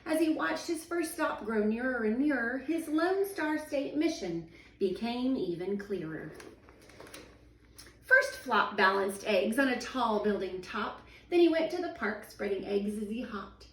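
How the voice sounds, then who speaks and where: A woman, a teacher in her thirties, reading a children's picture book aloud at home in a sing-song, expressive way. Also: on the high side, a little slow, slight background noise. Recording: echoey room, voice a little far from the mic.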